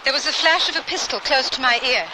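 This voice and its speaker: A woman's voice: high voice, fast, heavy background noise, lightly acted. An actress playing an intrepid, proper Victorian lady traveler in a radio drama, speaking in formal literary phrases. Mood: awed narration. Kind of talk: old-time radio drama acting and narration.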